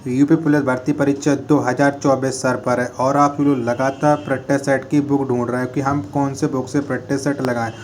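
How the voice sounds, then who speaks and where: Flat-voiced man giving a sales pitch. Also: fairly quick, few pauses, slight background noise. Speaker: book promoter. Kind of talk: promotional pitch.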